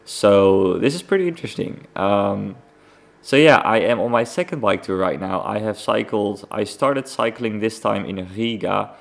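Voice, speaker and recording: A man's voice: lowish voice, measured pace, vocal fry. A young man from the Netherlands with a Dutch accent, hosting a casual solo podcast in an informal, rambling way. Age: young adult. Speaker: podcast host.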